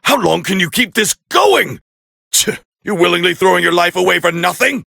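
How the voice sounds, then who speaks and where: Gruff haughty anime alien fighter, raspy n tense, highish for a man, calling fights in a game. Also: lively intonation, moderate pace, dry recording, studio quality, full character voice. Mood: smug, appraising. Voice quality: gravelly, raspy. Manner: haughty, forceful, clipped exclamations. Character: proud, gruff alien warrior man, scornful and competitive. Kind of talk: English-dubbed anime fighting game voice lines.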